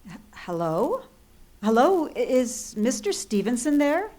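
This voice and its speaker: A woman's voice: fairly high pitch, very animated, a little slow, very noisy. An actress playing an anxious, lonely married woman on the phone in 1940s-style radio drama, fretful, hesitant and complaining. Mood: fretful, uneasy.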